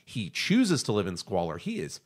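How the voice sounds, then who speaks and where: A man with a fairly low voice that swings widely in pitch as he talks. He sounds like a podcast host deep in analysis, stating opinions with emphasis. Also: huge pitch swings, normal speed. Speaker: podcast host discussing animation. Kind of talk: animated analytical discussion.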